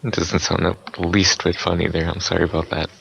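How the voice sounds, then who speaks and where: Man, deep voice, dull lo-fi audio, friendly rambling interviewer. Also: little pitch movement, brisk, creaky, a bit bright. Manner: friendly, laughing, rambling questions. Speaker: interviewer, internet radio host. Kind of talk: casual interview chat. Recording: dull lo-fi recording.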